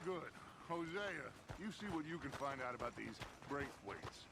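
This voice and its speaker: Smooth talking american outlaw leader, commanding n theatrical, pitch swings around, noisy outdoor ambience. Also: male voice, expressive, normal speed. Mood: scheming, assured. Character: confident middle-aged male outlaw gang leader, smooth-talking and commanding. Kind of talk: video game cutscene acting. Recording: outdoor ambience and hoofbeats behind voice.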